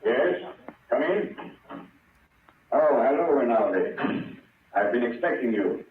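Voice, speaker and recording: An actor playing a corrupt senator who smoothly demands a bribe, in 1930s radio drama style. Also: male voice, medium pitch, lively intonation, slow, roomy sound. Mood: smug, demanding. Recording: muffled, noisy, distant old radio recording.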